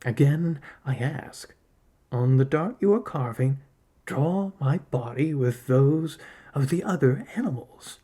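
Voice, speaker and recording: A man voicing a massive male arctic spirit creature from a story, sly and needy, speaking in a deliberate, commanding way. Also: medium pitch, lively intonation, mellow, husky. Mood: solemn pleading.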